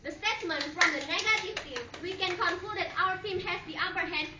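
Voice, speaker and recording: Woman, very high bright voice, assertive debate rebuttal, non-native English, distant, echoey hall. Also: brisk, noisy background. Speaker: debater, replier for affirmative team. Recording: echoey hall from far off, dull lo-fi.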